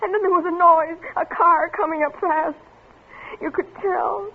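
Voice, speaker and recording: A very high-pitched bereaved mother in an old radio drama, tearful and shrill. She speaks slowly, repeating words in shock, on a muffled vintage recording. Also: fairly even intonation, gravelly, heavily acted. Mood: anguished shock. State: crying. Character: distraught young mother clutching her dead son. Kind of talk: 1950s radio drama acting.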